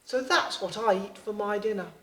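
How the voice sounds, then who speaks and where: A woman's voice: mid-range voice, moderate pace, a bit bright, close to an everyday voice. A woman voicing a grand, majestic giraffe in a read-aloud story, drawing out her words. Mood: grand, savoring. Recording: echoey room.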